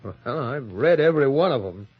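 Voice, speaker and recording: An actor playing a plain-spoken, inquisitive police sergeant with a halting, folksy manner, in 1950s radio drama style. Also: male voice, mid-range voice, expressive, slightly rough. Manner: halting, informal, folksy questioning. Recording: muffled old radio recording.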